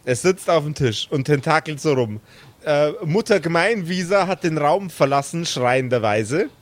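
A man's voice: on the high side, expressive, normal speed, a little hiss or hum. A man improvising narration as the game master of a tabletop role-playing game, in a playful way. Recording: music bed behind the voice. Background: background music.

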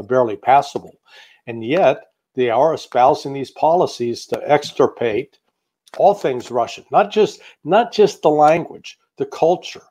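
An American man talking at an ordinary mid pitch, steady and deliberate as he works through a long answer. He pauses often, filling the gaps with small hesitations and restarts, like a guest analyst laying out a case in an interview. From United States. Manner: measured, with frequent fillers and restarts. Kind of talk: interview commentary.

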